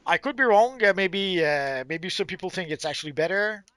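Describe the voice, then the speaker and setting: Hesitant high-voiced man. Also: lively intonation, brisk, a crowd in the background. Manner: hesitant, many "uh" fillers and repeated words. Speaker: stream co-host. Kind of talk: casual livestream chat.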